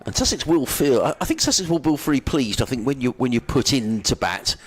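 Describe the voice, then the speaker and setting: A man's voice: mid-range voice, animated, a little fast. A middle-aged man giving chatty cricket commentary, friendly and rambling, mixing ball-by-ball calls with asides. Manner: rambling, friendly, lots of fillers and restarts.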